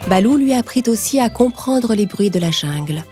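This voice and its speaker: A woman narrating a scripted children's story in a measured storytelling manner. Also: medium pitch, animated, music in the background.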